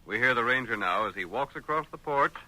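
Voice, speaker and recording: A male announcer narrates a vintage radio western in a formal, dramatic manner. His mid-pitched voice is extremely bright and forceful, on an old broadcast recording. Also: expressive, brisk, low-quality recording.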